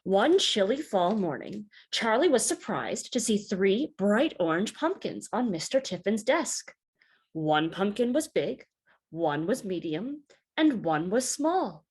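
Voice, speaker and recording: A woman reading a children's picture book aloud in an expressive, deliberate storytelling manner. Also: mid-range voice, slightly rough. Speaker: storybook reader.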